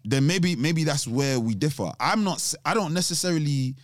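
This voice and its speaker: A man's voice: a little high, lively intonation, normal speed, dry recording. Reflective man, dry close sound. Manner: reflective, explains at length with restarts. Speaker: podcast co-host. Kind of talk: casual chat.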